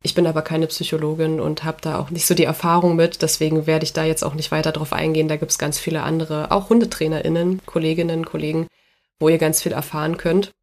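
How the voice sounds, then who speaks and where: A woman with a cold and a stuffy nose, hosting a casual podcast chat as a dog trainer, relaxed and chatty, laughing easily. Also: low-pitched, quick pace.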